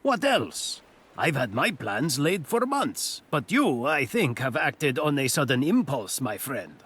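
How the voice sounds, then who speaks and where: An actor playing a cunning, boastful master thief in a fantasy audio drama, with a theatrical, self-assured delivery. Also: male voice, mid-range voice, sing-song, unhurried, fairly bright tone, breathy edge, exaggerated voice.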